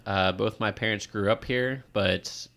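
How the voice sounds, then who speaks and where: Lowish voiced guy, kinda halting, lots of uhs, casual chat. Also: vocal fry, a bit bright. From Indiana. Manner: hesitant, halting. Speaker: podcast co-host.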